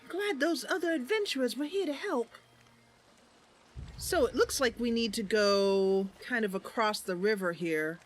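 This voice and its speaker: A woman's voice: a little high, a little slow, slight background noise. A woman giving casual gameplay commentary and narration as the host of a roleplay series. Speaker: gamer hosting a roleplay series.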